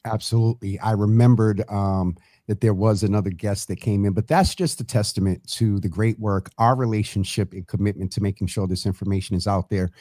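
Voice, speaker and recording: A man's voice: on the low side, fairly even intonation, a little fast, warm tone, dry recording, studio quality. A male show host asking long, winding interview questions with many fillers. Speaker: podcast host. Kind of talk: interview hosting. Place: studio room.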